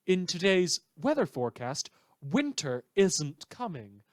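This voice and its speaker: A man playing a sardonic, bitter radio news host in a fan audio drama, with theatrical, drawn-out emphatic phrasing. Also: high voice, big pitch swings, no echo, studio quality. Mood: mocking, ominous. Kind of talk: scripted satirical radio news monologue.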